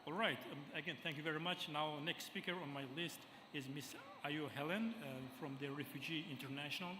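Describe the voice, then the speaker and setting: A man's voice: a little high, fairly even intonation. Man, session moderator, formal, hesitant, echoey hall, audible background noise. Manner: halting, formal, many ums and restarts. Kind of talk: chairing a conference session. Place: large conference hall.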